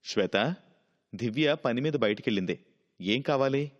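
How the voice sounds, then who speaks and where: A man's voice: mid-range voice, animated, moderate pace, in a large hall, highs cut off, natural voice. A man voicing a practical, dismissive husband and breadwinner who reasons through a moral story dialogue. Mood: exasperated.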